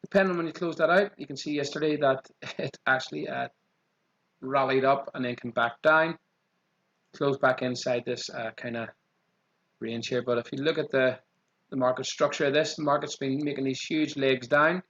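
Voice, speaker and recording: A man with a strong Irish accent walking through trading charts quickly, often saying "okay" as he goes. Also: mid-range voice, fairly even intonation, fairly bright tone, lo-fi sound. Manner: quick, repeats 'okay' as a filler. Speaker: trading channel host. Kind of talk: screen-share chart walkthrough.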